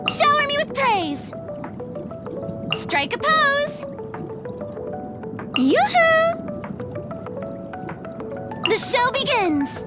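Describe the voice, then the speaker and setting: A woman's voice: very high-pitched, sing-song, a little slow, full character voice. A woman voicing a proud, theatrical young female archon in a video game, with a pompous, flamboyant delivery. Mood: triumphant, showy. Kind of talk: video game character voice lines. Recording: music behind the voice. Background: music.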